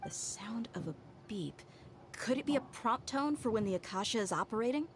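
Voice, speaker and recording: A woman's voice: fairly high pitch, lively intonation, moderate pace, heavy background noise. A woman streamer reading video game dialogue aloud.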